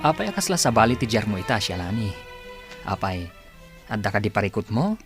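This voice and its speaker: A man's voice: lowish voice, sing-song, fairly quick, music in the background. A man playing an aggrieved, vengeful young recruiter in a radio drama, with an Ilocano accent. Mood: persuasive.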